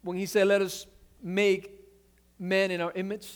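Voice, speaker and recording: A man's voice: high voice, measured pace, smooth. A man whose first language is Spanish, preaching a sermon with energy, often restarting words and asking for an amen. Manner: animated preaching, repeats words, asks amen. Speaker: pastor.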